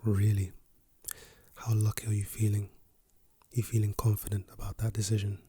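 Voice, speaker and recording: Hoarse soft-spoken man, close and husky. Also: lowish voice, completely flat delivery, normal speed, no room sound. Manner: playful, teasing, repeats words softly. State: lost voice, hoarse. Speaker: ASMR creator. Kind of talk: soft-spoken and whispered ASMR.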